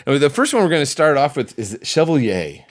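A man's voice: medium pitch, very animated, fairly quick. Chatty, rambling man hosting a film show. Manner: chatty, rambling, often doubles back on phrases. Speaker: film review show host. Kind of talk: casual unscripted film review chat.

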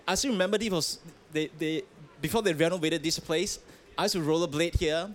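A man's voice: fairly high pitch, brisk, fairly bright tone. A man from Malaysia, a pastor, answering interview questions earnestly, with hesitant restarts and fillers, outdoors at a festival. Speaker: pastor, guest.

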